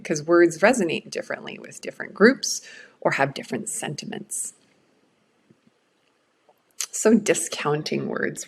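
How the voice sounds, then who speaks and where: A middle-aged woman whose first language is Swedish talks through a workshop lesson in a low, slow voice. There is a gravelly fry at the bottom of her tone. She speaks in an earnest, reflective way. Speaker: change management presenter. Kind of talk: recorded workshop talk.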